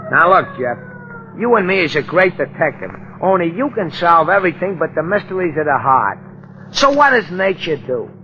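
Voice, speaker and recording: An actor playing a folksy, easygoing police sidekick as 1940s radio comic relief, chatty, ungrammatical and mock-pompous. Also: male voice, high-pitched, sharp tone, exaggerated voice. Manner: chatty, colloquial, bad grammar, mock-pompous. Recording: muffled, noisy old radio recording.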